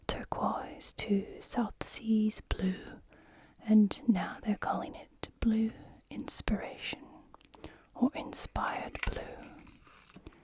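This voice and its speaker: Slow, whispering woman in ASMR role play. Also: mid-range voice. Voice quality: soft, breathy whisper. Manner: gentle, unhurried, attentive to the customer. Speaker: ASMR creator playing a stationer's shop assistant.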